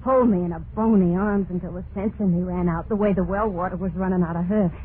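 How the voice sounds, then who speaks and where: An actress playing a terrified, unhinged wife in an old-time radio horror monologue, halting and overwrought, full of guilt and dread. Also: female voice, on the low side, steady tone, natural voice. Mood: frantic defiance. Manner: halting, overwrought, drawn-out dramatic delivery. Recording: noisy narrow-band vintage radio recording.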